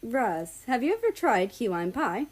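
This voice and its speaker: A woman's voice: a little high, very animated, a little slow, breathy edge, pitched above the performer's own voice, heavily acted. An eager, friendly guy in a storybook, acted out by a woman reader. He talks chattily and is keen to please, with a nervous edge. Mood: eager, welcoming. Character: eager, friendly, nervous young male character.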